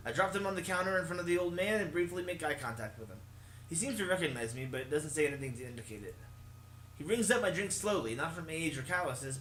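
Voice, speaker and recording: Higher-voiced man narrating in an echoey room. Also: fairly bright tone, a little hiss or hum. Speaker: let's play host. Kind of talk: reading a visual novel aloud, narration. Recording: echoey room, music behind. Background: game music.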